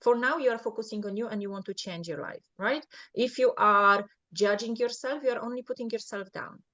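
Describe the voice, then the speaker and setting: A woman's voice: on the high side, a little fast, fairly bright tone. Polish lady coach talking earnest, keeps asking right?, muffled lo-fi sound. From Poland. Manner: earnest, often checks in with "right?". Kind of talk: coaching advice in an interview. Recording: muffled lo-fi audio.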